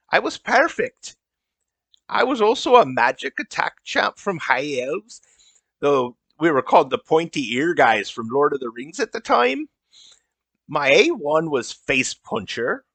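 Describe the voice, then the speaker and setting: A man's voice: fairly high pitch, big pitch swings, a little slow, creaky, a bit bright, no echo, exaggerated voice. A man voicing a vain, indignant elf mage in a comedy, with a foreign accent and a haughty, theatrical delivery. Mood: boastful. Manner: haughty, dramatic.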